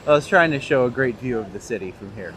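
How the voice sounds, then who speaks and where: A man's voice: medium pitch, expressive, quick pace, fairly bright tone. Chatty Canadian man on a street. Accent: Northern Ontario. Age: 30s. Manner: chatty, laughs often, answers viewer comments. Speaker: tour guide livestreaming. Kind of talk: casual live-stream chat. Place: outdoors on a city street. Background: faint street traffic.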